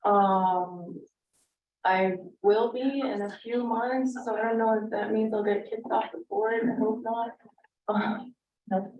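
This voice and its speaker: A woman's voice: medium pitch, fairly even intonation, takes it slowly. A Cuban-born woman whose first language is Spanish, introducing herself casually at a public board meeting, with many fillers. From Cuba. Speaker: board member candidate. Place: meeting room. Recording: echoey room, distant mic, dull sound.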